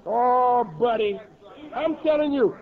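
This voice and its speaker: A man's voice: very high-pitched, slow pace, narrow, tinny audio, a faint crowd. A man preaching a sermon in an emphatic way, repeating and rephrasing his words as he builds each point.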